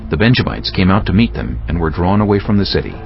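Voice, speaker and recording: Deep gravelly guy narrating bible story, solemn but animated, muffled w music behind. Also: fast talker. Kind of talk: dramatized scripture narration.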